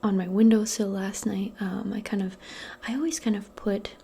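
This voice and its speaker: A woman role-playing in soft-spoken ASMR, speaking gently and soothingly in a breathy, mostly whispered voice. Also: mid-range voice, flat delivery. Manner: gentle, soothing, unhurried, conversational. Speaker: ASMR performer role-playing a modern witch.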